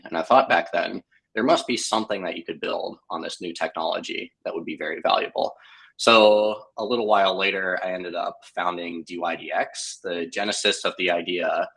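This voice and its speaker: A man in his thirties with a very deep voice talks through the story of his company in an even, explanatory way. He sounds slightly hoarse, and he speaks over a live online call. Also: poor audio. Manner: measured, explanatory, occasional filler. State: slightly hoarse from many meetings. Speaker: company founder being interviewed. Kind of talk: conversational explanation.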